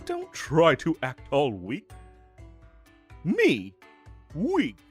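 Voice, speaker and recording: A gallant fantasy knight, acted by a man, speaks in grand, old-fashioned phrases with a playful edge. His pitch swings expressively and his delivery is unhurried, in the manner of an audio roleplay. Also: huge pitch swings, soft background music, exaggerated voice. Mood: teasing. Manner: formal, archaic, grandiose phrasing. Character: gallant, theatrical knight, honorable with a playful teasing streak.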